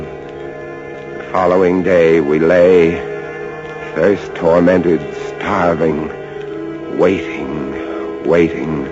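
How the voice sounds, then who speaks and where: Haunted lighthouse keeper: lowish voice, measured and halting, suspenseful old radio drama delivery. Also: male voice. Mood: weary, despairing. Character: haunted lighthouse keeper, a man, recounting a rat siege. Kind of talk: 1940s-50s radio drama narration. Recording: dull vintage radio recording, loud music behind.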